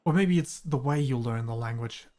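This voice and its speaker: German man, relaxed rambling streamer. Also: medium pitch, normal speed. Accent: slight mix of British and American. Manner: rambling, hesitant, laughs often. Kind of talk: casual gameplay chat.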